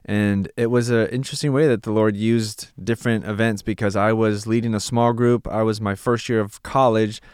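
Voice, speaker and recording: Guy from california in his 30s, earnest reflective talk, low resonant voice. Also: steady tone, moderate pace, hardly any pauses, darkish tone, clear, resonant tone, no room sound, studio quality. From California, USA. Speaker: missionary, ministry partner. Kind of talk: casual podcast conversation.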